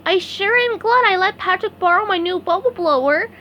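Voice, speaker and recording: Brief: woman putting on a very high, bouncy cartoon sponge boy, always thrilled. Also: normal speed, highs cut off, slight background noise. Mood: excited. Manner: squealing, breathless excitement. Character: cheerful excitable male sea sponge.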